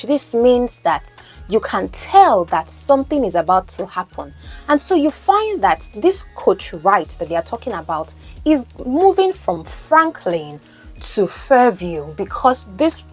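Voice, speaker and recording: A woman's voice: fairly high pitch, husky. Nigerian woman coaching English. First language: Yoruba. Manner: explanatory, asks the listener questions. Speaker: English language coach and podcast host. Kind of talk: teaching lesson, mixing in Pidgin.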